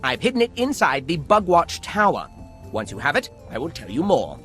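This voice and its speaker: A man's voice: on the high side, wildly swooping pitch, normal speed, a bit bright, faint music behind. A man voicing a paranoid, formal-speaking creature engineer in a video game, with a theatrical, old-fashioned manner. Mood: secretive. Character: paranoid fussy male creature engineer, secretive.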